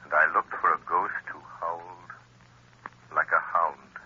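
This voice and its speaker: A man playing a calm, mysterious invisible crime-fighter who speaks in a soothing but commanding way, on an old radio broadcast. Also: low voice, unhurried, slightly rough, lo-fi sound, exaggerated voice.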